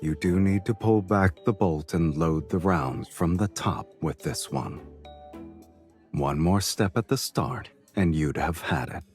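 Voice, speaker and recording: A man playing a suave, amused romantic lead in an audio drama, speaking in a teasing, unhurried way. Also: sits low, animated, a little mellow, faint music behind.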